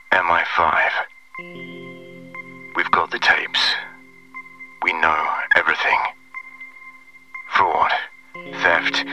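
An actor playing a menacing intelligence agent in an audio drama, delivering a deliberate, clipped, coolly threatening ultimatum. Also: male voice, low-pitched, bright, forceful tone, full character voice, rough recording. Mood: cold, accusing. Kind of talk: audio drama acting, voicemail monologue.

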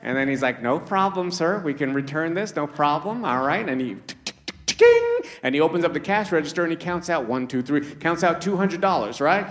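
A man's voice: a little high, sing-song, fairly quick. A Trinidad-born man with a Canadian accent doing a stand-up comedy set, chatty and acting out characters, laughing at his own jokes. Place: live comedy show with audience.

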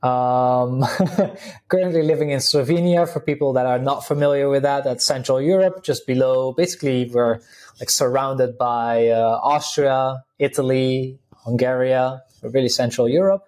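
A man's voice: mid-range voice, fairly even intonation, normal speed, darkish tone. Dutch guy in his 30s chatting as a podcast guest, mid voice, laughs easily. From Netherlands. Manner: chatty, many fillers and restarts, laughs easily. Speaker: guest, vegan health coach. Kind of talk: casual interview talk.

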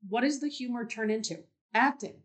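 A woman's voice: on the high side, brisk. A woman hosting a podcast interview, asking questions and offering reflective, affirming comments. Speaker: podcast host.

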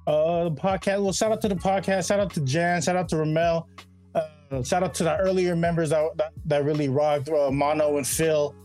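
A man's voice: a little high, fairly even intonation, moderate pace, darkish tone. Middle-aged guy, podcast guest, loose and slangy, easygoing talker, faint music behind. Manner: laid-back, rambling, slangy with frequent "you know what I mean". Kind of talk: casual interview chat.

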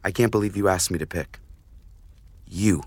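Fantasy audio drama lead: brooding young lord of a ruling house, deep husky voice, intense. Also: male voice, moderate pace, dry recording. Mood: urgent, hurt. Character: brooding, guilt-ridden nobleman of a ruling family.

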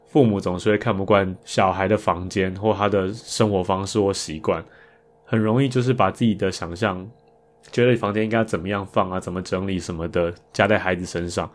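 Low-pitched Taiwanese man, casual podcast host. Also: fairly even intonation, a little fast. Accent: Taiwanese Mandarin. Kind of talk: casual podcast monologue about improvised songs.

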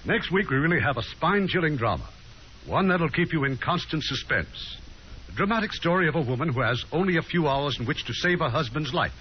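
An American man hosting a 1950s radio show with scripted, showmanlike, promotional delivery. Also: fairly high pitch. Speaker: radio theatre host. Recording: muffled vintage radio, faint noise.

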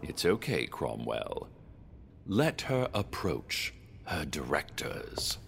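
A man playing an imperious, elderly, gravel-voiced chairman of a corporate board in an audio drama, slow and commanding. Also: a bass voice, sing-song, fairly bright tone, big-room sound, slight background noise, heavily acted. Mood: patronizing, coaxing.

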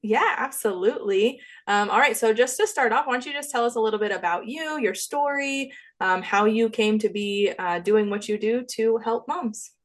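A woman's voice: a little high, moderate pace, few pauses. Encouraging woman podcast host. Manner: earnest, encouraging. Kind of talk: podcast intro and interview.

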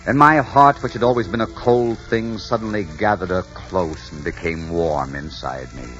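An actor playing a commanding, ardent Spanish conquistador who also narrates, in a dramatic 1940s radio adventure style. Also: male voice, sits low, normal speed, a bit bright. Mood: wistful narration. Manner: dramatic, authoritative. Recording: dull 1940s radio recording, music behind.

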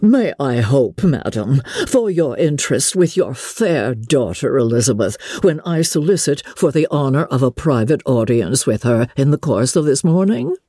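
A woman voicing a pompous, self-satisfied clergyman who speaks in a slow, formal, long-winded way, in a dramatic audiobook reading of a classic novel. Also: very deep voice, sing-song, dark tone, husky, no echo, clean studio sound, exaggerated voice. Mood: formal, courteous. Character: pompous, self-satisfied, solemn young clergyman proposing marriage.

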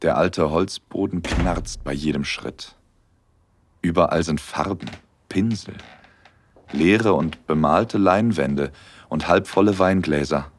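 A young man in love, in a romantic audio drama. His voice is deep and low. He speaks tenderly and intimately, as a smitten, slightly nervous storyteller. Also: slow pace, slightly rough, exaggerated voice. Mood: longing, intimate. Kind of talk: intimate first-person audio drama narration and dialogue.

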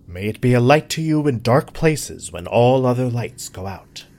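An eccentric sci-fi radio play scientist, stuffy formal diction, really animated pitch. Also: male voice, moderate pace, gravelly. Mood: grave, theatrical. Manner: formal, theatrical, precise diction. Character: pompous, eccentric male scientist, dramatic and lonely. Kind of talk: scripted audio drama acting.

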